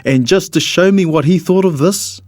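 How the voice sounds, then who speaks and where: A man's voice: high-pitched, no room sound. Man narrating a children's storybook, dry close sound. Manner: expressive, measured storytelling in the character of a bird narrator. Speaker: storybook reader.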